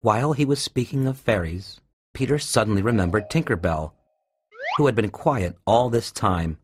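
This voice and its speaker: A man's voice: on the low side, lively intonation, normal speed, slight background noise. A man narrating a scripted children's storybook tale. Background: music.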